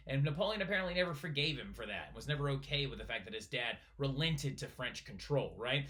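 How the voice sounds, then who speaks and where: Brief: quick, bright male teacher voice, a little high, lecturing casually with constant "right?" check-ins. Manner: fast, animated, keeps asking "right?". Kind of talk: recorded lecture for a flipped class.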